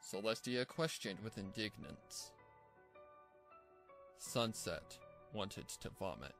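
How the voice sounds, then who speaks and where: A man's voice: on the low side, expressive, slow, gravelly, fairly bright tone, soft background music. A man reading scripted story narration as the narrator of a fan fiction tale.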